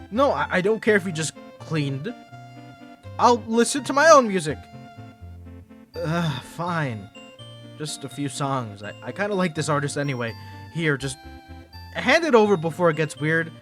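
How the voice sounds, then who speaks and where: A man's voice: fairly high pitch, a bit bright. A man performing an ASMR roleplay to the listener, flustered and stammering, with defensive grumbling and bossy scolding outbursts.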